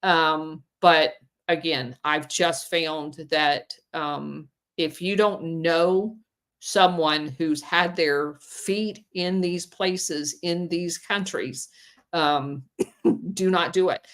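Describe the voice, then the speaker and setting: A woman's voice: low voice, steady tone, very slow, a bit bright. A woman hosting a call-in podcast, giving plain-spoken advice with frequent ums. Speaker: podcast host.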